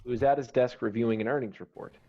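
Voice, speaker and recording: A man's voice: medium pitch, fast talker, dark tone. A man reading stage directions and scene headings as the narrator of a screenplay. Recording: dull, slightly muffled online call audio.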